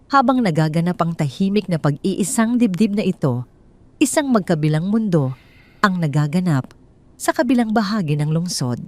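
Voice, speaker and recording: A woman's voice: on the low side, expressive, moderate pace, dry recording. A woman with a Filipino accent narrating a scripted dramatic story.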